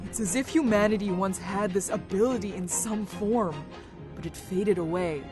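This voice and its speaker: A woman's voice: medium pitch, normal speed, over music, close to an everyday voice. A woman playing an earnest military scientist in an amateur sci-fi drama, serious and caring.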